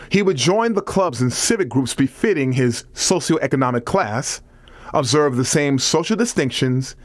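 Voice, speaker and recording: A man reads scripted nonfiction as an audiobook narrator, with measured, deliberate phrasing. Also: mid-range voice, no echo.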